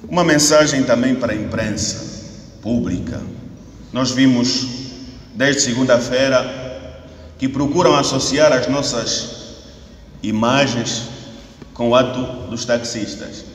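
A man in his thirties with an Angolan Portuguese accent, speaking as an opposition party spokesman at a press statement. He asks rhetorical questions, repeats phrases for emphasis, and rises to shouting. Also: mid-range voice, takes it slowly, pauses often, distant mic. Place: indoor hall at a podium. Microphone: podium mic on a stand, camera at a distance. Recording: echoey hall recording from the camera, faint ambient noise.

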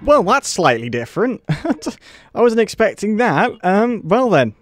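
A man's voice: sits high, big pitch swings, a little slow, faint music behind. A man with a British accent giving casual, wry commentary while playing a game and laughing at it. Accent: British English. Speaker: let's play host.

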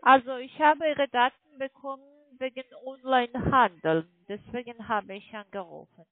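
A high-voiced woman with a foreign accent works through a sales pitch on a muffled phone line. She speaks haltingly in non-native German, pressing on and often seeking confirmation. The sound is dry and narrow. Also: dry recording. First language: not German. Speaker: call centre telemarketer selling online trading. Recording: muffled phone line, fluctuating microphone volume.

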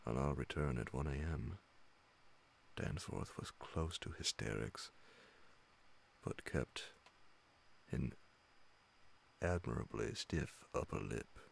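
A middle-aged man reading a horror story in a hushed, measured ASMR audiobook style, pausing often, close to a studio microphone. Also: extremely low pitch, animated, creaky, a little hiss or hum. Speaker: narrator reading classic horror stories. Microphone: large studio condenser microphone close beside him, headphones on.

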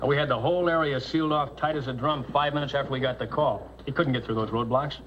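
An actor playing a male highway patrol officer giving businesslike questions and orders in a 1950s TV crime drama. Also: steady tone, fast talker, natural voice. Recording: dull old TV audio with hiss.

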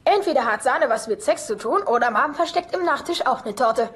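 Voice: high-pitched, expressive, a little fast, very noisy. A performer dubbing a blunt, naive young boy in a German sitcom. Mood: blunt, curious.

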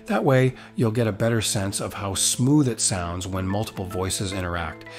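A man's voice: lowish voice, moderate pace. Enthusiastic male tutorial host over music. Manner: enthusiastic, promotional. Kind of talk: upbeat scripted tutorial narration. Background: background music.

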